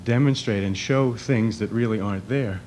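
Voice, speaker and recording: Breathless man with a lowish voice. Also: moderate pace, darkish tone. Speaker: guest, mime performer. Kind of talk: live interview, conversational. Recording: noisy recording with hum.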